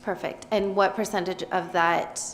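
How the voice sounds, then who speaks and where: A woman's voice: fairly low pitch, steady tone, normal speed, a little hiss or hum. Woman, committee member, polite prober, echoey chamber. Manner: polite, probing. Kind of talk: questioning at a meeting. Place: council chamber. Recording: roomy chamber sound.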